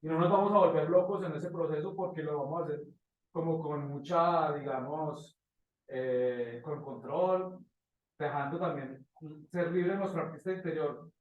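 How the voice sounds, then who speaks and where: A man teaching informally to a class, pausing to search for phrases and checking in with short questions, recorded at a distance in an echoey room. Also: on the high side, narrow, tinny audio. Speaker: motion graphics teacher. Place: indoor classroom.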